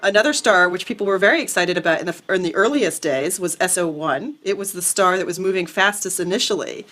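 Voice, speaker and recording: A woman's voice: lowish voice, fairly quick, a bit bright, faint music behind. A woman speaking unscripted as an interviewed scientist, explaining and recalling her research. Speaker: astronomer interviewed as expert.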